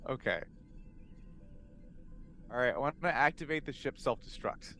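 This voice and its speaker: A man talking casually as a player in a tabletop game, informal and thinking out loud. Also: mid-range voice, expressive, moderate pace, slight background noise.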